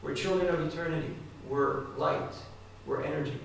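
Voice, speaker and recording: A man with a mid-pitched, gravelly voice delivers a devotional talk, turning often to his listeners with direct questions. He is recorded from a distance in a large, very reverberant hall. A faint hiss sits under the recording. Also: steady tone, moderate pace. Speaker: spiritual speaker. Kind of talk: devotional talk to a live audience. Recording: echoey hall, distant mic, faint noise.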